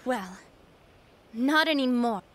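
A woman's voice: fairly high pitch, expressive, slow, very noisy. A woman voicing a stubborn young female rebel fighter in a video game, earnest and defiant.

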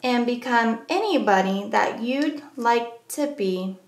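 A woman with a high-pitched voice reads a children's picture book aloud in a roomy, echoing space. She phrases the rhymes in a measured, deliberate way, as a storyteller does for young listeners. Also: expressive.

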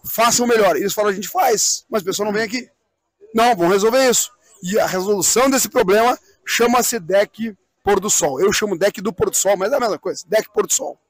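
A man's voice: high voice, no echo. A middle-aged man explaining things in a live interview, repeating phrases and posing rhetorical questions. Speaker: event president being interviewed. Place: outdoors on a wooden swing in a park.

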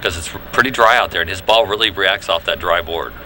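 A man giving relaxed, knowing commentary on a youth bowling match, explaining shots as they happen. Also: sits low, a little fast. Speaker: color commentator. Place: bowling alley. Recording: noisy bowling alley ambience.

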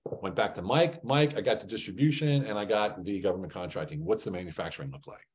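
Low-voiced man telling business stories. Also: fast. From Germany. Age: middle-aged. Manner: animated storytelling, acting out dialogue, punchy rhetorical questions. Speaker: business trainer. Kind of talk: motivational training talk with anecdotes. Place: indoor room, on a group video call. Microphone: video call.

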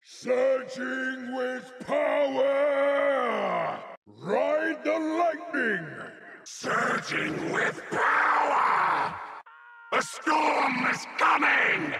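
An actor voicing a wild, frenzied orc shaman with a raspy voice, shouting video game battle cries. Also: very high voice, very slow, very noisy, exaggerated voice. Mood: frenzied, exultant.

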